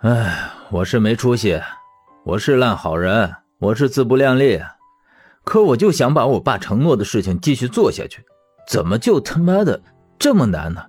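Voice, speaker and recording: A man's voice: on the low side, unhurried, pauses often, soft background music. A man voicing a hot-tempered, righteous young former auxiliary policeman in an audiobook, blunt and aggrieved in manner. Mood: frustrated. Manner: blunt, indignant, swearing.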